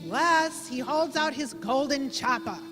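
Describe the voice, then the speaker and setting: A woman's voice: high voice, expressive, unhurried. A woman playing an earnest, brave young gangster's moll in an old-time radio play. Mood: anxious. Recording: echoey hall with music behind.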